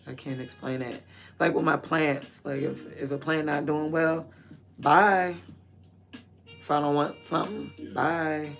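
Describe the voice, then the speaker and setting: A woman in her thirties with a naturally raspy voice, chatting candidly and rambling on a casual livestream. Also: low voice, fairly even intonation, unhurried, soft background music. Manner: candid, rambling, drifts between loud and murmured asides.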